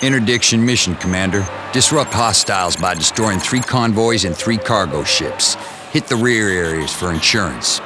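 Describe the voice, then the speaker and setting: A man's voice: on the low side, expressive, moderate pace, noisy background, lightly acted. An actor playing a stern male military commander who gives clipped, authoritative mission orders in a game cutscene. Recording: music bed under the briefing.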